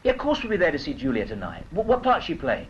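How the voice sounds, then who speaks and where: Chatty, wildly animated golfing businessman. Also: on the high side, fast talker. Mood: jovial. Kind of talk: dramatised casual chat in a training film. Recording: muffled, hissy 1970s film soundtrack.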